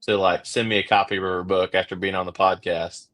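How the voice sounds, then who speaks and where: A man's voice: low-pitched, flat delivery, fairly quick, a bit bright. A man with an Oklahoma accent hosting a podcast, chatting casually and rambling with many fillers and restarts. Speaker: podcast host. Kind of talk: casual interview chat.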